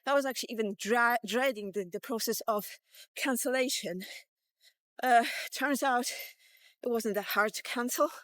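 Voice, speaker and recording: High-pitched blunt woman in her fifties. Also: normal speed, a bit bright, no echo. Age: 50s. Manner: blunt, sweary, rambling. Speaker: vlogger. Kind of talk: casual rant to camera while walking.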